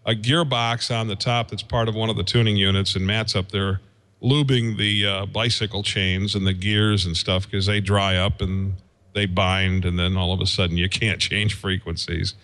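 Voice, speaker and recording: A man with a fairly low voice talks through technical equipment as a guest engineer. He is informal and folksy, often halting as he thinks aloud and works out his explanation. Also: little pitch movement, a bit bright. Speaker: guest, radio station engineer.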